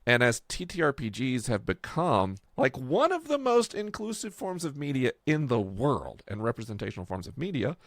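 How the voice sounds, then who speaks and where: A man's voice: mid-range voice, huge pitch swings, normal speed, few pauses, a bit bright, no echo. A man talking casually and conversationally as a podcast host, explaining his project. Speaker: podcast host and game creator.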